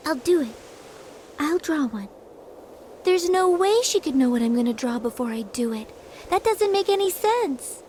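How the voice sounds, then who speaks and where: An earnest young girl, high and bright-toned with a lively rise and fall. She speaks quickly and with quiet resolve. Faint noise under the track. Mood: determined. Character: young girl, hopeful and determined. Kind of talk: video game cutscene dubbing.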